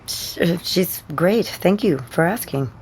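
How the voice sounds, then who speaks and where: A woman playing a caring, steady counselor with dry humor, speaking in a measured, gently probing way in an audio drama. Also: lowish voice, a little hiss or hum. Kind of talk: naturalistic audio drama acting.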